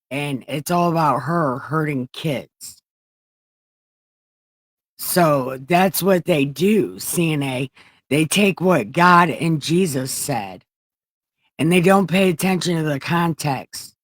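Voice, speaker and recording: A woman commentator with an unhurried delivery and a close, dry sound. She talks casually and bluntly, with an irreverent streak and some coarse language. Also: deep voice, no echo. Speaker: commentator reacting to a recorded call.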